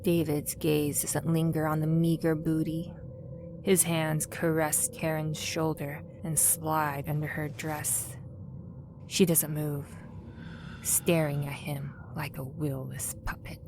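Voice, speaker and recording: A woman narrating a scripted audio drama in a measured storytelling manner. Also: deep voice, flat delivery, noisy background.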